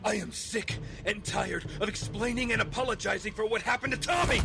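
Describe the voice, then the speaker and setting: A man's voice: sits high, brisk, creaky, over music. An actor playing an earnest, guilt-ridden young male hero in an audio drama, pleading emphatically. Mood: impassioned, pleading.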